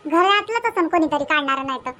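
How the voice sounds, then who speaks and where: A woman's voice: very high-pitched, lively intonation, brisk, noisy background. A woman voicing a chatty, hospitable and gossipy village neighbour in a cartoon comedy, with a Varhadi Marathi accent.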